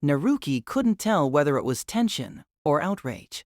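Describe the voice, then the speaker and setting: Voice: mid-range voice, big pitch swings, moderate pace, a little mellow, no echo, studio quality. A narrator delivering scripted third-person narration in an audio drama.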